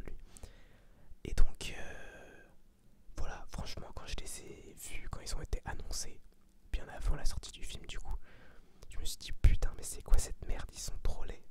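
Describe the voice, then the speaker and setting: An ASMR host whispering a review in an informal, slangy, rambling way. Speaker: ASMR video host giving a film review.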